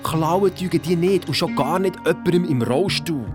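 A performer playing an excited boy detective with a Swiss German accent, telling a story colloquially and dramatically in a kids' radio play. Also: medium pitch, moderate pace, over music, close to an everyday voice. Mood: tense, excited. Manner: breathless storytelling, colloquial, dramatic sound imitations. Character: excited boy detective recounting his sneaky adventure.

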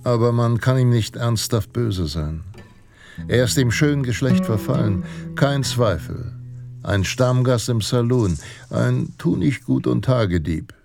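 An actor playing a grave, self-assured Western pulp narrator in a radio drama, speaking in a measured, dramatic way. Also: male voice, mid-range voice, creaky. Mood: wry, self-assured. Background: guitar music.

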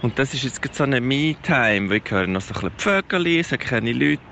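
A man's voice: medium pitch, lively intonation, fast talker, vocal fry, bright, forceful tone. A man with a Swiss German accent recording a casual, reflective voice message while walking outdoors. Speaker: parent contributing a voice message. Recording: outdoor noise, faint traffic ambience.

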